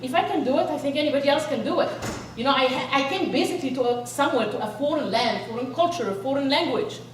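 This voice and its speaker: Woman motivational speaker from Egypt, high and energetic, miked from a distance in a big echoing hall. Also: fast talker, a little hiss or hum. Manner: energetic, rallies the crowd with questions. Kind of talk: inspirational speech to a live audience. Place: large event hall. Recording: echoey hall, voice from far off.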